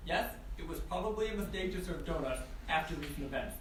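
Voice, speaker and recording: A man playing a stuffy high school authority figure at a screenplay table read. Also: animated, fast, a bit bright. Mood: announcing. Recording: very echoey room, distant mic, noise.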